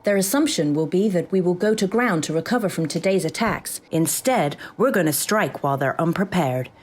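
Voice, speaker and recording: Brisk, lower-voiced female AI assistant. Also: animated, darkish tone. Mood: dry, resolute. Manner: crisp, dry, businesslike. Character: brisk, dry-witted female AI assistant to soldiers. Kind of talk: comic sci-fi audio drama acting.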